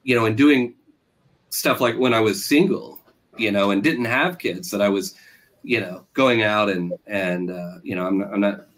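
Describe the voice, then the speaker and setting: Halting middle-aged man in interview. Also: fairly low pitch, a bit bright. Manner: halting, circling, self-interrupting. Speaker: author being interviewed, teacher. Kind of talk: conversational interview answers.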